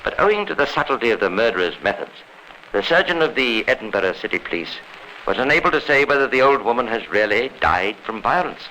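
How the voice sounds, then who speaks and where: A grave, authoritative narrator tells a radio crime story with formal drama. The voice is sharp and forceful, on an old recording with slight background noise. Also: male voice, medium pitch, very animated, fast talker, poor audio. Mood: grave, dramatic. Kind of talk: 1950s radio scripted narration.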